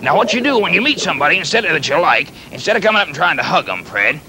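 Folksy, flustered pirate captain, animated and bright. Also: male voice, a little high, slight background noise, full character voice, rough recording. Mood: patiently coaching. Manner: rambling, halting, stammering, repeats himself. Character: folksy, flustered, kindly pirate captain fond of his clumsy pet.

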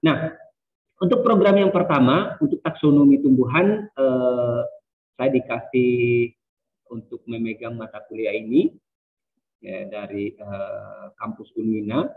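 A man's voice: medium pitch, unhurried. Man lecturing over a tinny video call. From Sumba, Indonesia. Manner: casual, many hesitations and fillers. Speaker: university lecturer. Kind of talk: online lecture. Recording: distant, phone-like video call audio.

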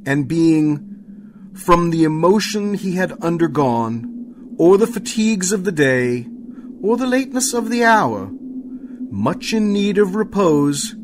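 A man narrating a scripted radio play as a storyteller, with measured dramatic delivery. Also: a little high, noisy background.

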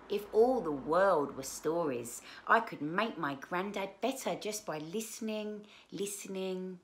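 A woman in her thirties reading aloud to children as a storyteller, expressive, with drawn-out emphasis on key words. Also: mid-range voice. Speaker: children's book read-aloud presenter. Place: small living room, indoors.

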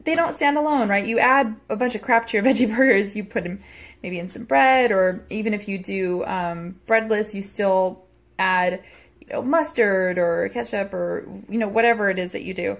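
A woman in her thirties chatting casually as she shows a recipe in her small home kitchen, self-deprecating and quick to laugh, recorded on a phone camera held close. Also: medium pitch, vocal fry. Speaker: cooking vlogger. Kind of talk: casual cooking vlog chat.

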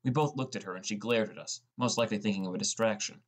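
A man's voice: fairly low pitch, fast, lightly acted. A man playing a sullen, defensive teenage boy who narrates his own story in a scripted audiobook. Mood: narrating.

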